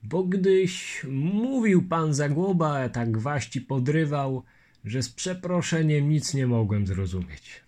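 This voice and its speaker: An earnest, patient Lithuanian nobleman, voiced by a male audiobook reader. He speaks with old-fashioned courtesy, measured and slow. His voice is lifted a little above the reader's own. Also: on the high side, sing-song, a little mellow, voice pushed up. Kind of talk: audiobook character voice.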